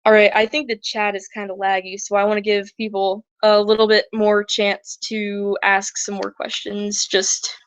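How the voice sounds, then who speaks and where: A young woman hosting a casual livestream, chatting in a rambling way with many fillers, her voice raspy and a little shaky from asthma. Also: mid-range voice, little pitch movement, normal speed, hardly any pauses, dry recording, poor audio. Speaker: livestream host. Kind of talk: casual educational livestream talk.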